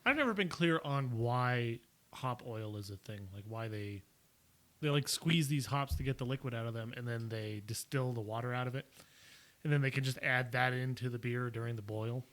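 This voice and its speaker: A man's voice: mid-range voice, normal speed, a bit bright. A young man co-hosting a casual beer review chat, talking loosely with frequent laughs and restarts. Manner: chatty, laughs often, hedging and restarting. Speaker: podcast co-host reviewing beer.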